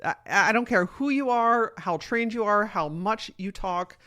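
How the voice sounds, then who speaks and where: A woman in her thirties with a deep voice, hosting a solo podcast and telling a personal story in a candid, swearing, animated way. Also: a little slow. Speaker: podcast host and keynote speaker. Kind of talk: casual solo podcast monologue.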